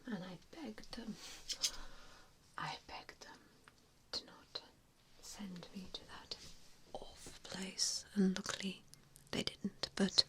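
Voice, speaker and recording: A woman performing an ASMR roleplay as a vampire assessor, speaking in a breathy, mostly unvoiced whisper with hushed pauses. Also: mid-range voice. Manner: slow, hushed delivery with frequent pauses. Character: vampire assessor, a wistful former hunter, gently probing.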